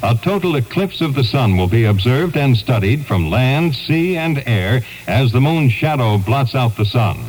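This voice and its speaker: A man's voice: mid-range voice, expressive, moderate pace, hardly any pauses, darkish tone, poor audio. A man giving a scripted news report as a radio correspondent, on a 1970s aircheck.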